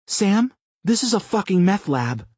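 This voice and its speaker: A man's voice: fairly high pitch, big pitch swings, moderate pace, breathy edge, dry recording, pitched above the performer's own voice. A male narrator voicing a tough, blunt woman who gives threatening orders in an audiobook. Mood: hard, commanding. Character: tough woman with a gun, commanding. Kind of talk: audiobook character voice. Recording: muffled lo-fi audio.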